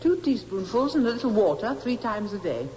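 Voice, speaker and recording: Actress as obstinate, curt practical nurse, 1950s radio drama, roomy echo and faint hiss. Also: female voice, mid-range voice, big pitch swings, fairly quick, a little mellow, natural voice. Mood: defensive, stubborn. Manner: curt, stubborn, repetitive. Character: stubborn, defensive practical nurse, a working mother. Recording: muffled, echoing old radio recording, hissy.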